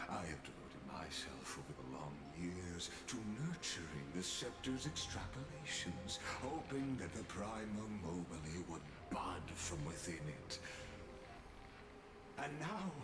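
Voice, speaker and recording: An actor voicing a calm, scheming game villain of cosmic intellect, speaking in a formal, theatrical, condescending manner. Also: male voice, low voice, reverberant. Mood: grandiose, composed. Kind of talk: scripted video game villain monologue. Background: music.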